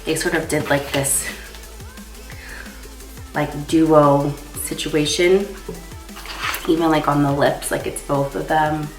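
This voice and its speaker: A woman's voice: low-pitched, flat delivery, takes it slowly, pauses often, faint music behind. A young woman with a slightly congested voice from a cold, chatting casually as she gives a makeup tutorial. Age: young adult. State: has a cold. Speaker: makeup artist and beauty vlogger. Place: small indoor room. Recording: roomy echo.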